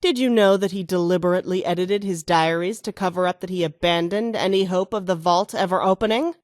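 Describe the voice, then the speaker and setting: A woman's voice: fairly low pitch, a little fast, no room sound, studio quality, lightly acted. An actress playing an ambitious, scheming female mayoral candidate in a video game, speaking with self-assured, oratorical delivery. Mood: smug, accusing.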